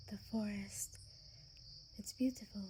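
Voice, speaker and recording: Woman acting soft, slow, gentle young vampire girl. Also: medium pitch. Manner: soft, hesitant, breathy whispering at times. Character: gentle young female vampire, sheltered castle-dweller. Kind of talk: ASMR roleplay acting.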